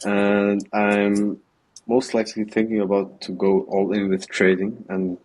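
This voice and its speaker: An eighteen-year-old man with an Austrian German accent, answering in a remote interview, halting and hesitant with many ums, on a video call. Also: on the low side, monotone, a little mellow, rough recording. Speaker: guest, student trader.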